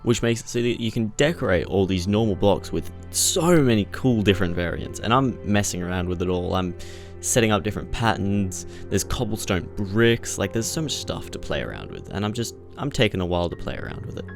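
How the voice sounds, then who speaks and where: A man's voice: fairly low pitch, expressive, brisk. A man giving casual, conversational, jokey first-person narration of his video game play. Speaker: gaming video creator narrating gameplay. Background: music behind the voice.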